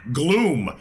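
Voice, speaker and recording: A man chatting casually as a player in a tabletop role-playing game. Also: on the high side. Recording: faint background noise.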